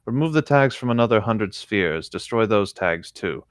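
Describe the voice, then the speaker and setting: Casting: man with a lowish voice and close, dry sound for casual story reading and banter. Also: animated, normal speed, no echo. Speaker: co-host of a fanfiction reading show. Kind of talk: casual reading of fiction with commentary.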